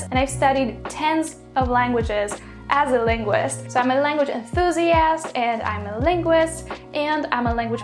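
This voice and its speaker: A young woman from Poland explains languages straight to camera in an upbeat, brisk way. Her voice sits a little high, she is recorded close up in a small bedroom, and music plays clearly underneath. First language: Polish. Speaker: language teacher and YouTuber. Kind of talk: direct-to-camera video lesson.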